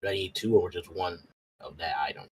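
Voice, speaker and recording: Male tabletop player, low pitch, hesitant, thinking aloud, casual chat, faint noise behind. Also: a little fast. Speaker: player in a tabletop roleplaying game. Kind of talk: casual chat during a game.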